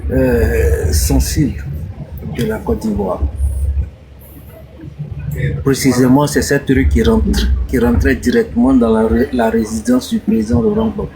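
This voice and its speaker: A man with a cold calling into a debate room from a train, slow and measured. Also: mid-range voice, gravelly. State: congested with a cold. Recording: noisy train background.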